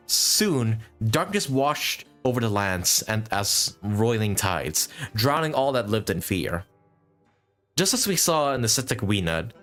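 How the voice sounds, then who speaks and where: A man's voice: mid-range voice, fairly bright tone. A proud, formal spirit heroine of a fantasy video game, read aloud by a man. The delivery is lofty storytelling with plenty of rise and fall in a mid-range voice. Faint music sits behind it.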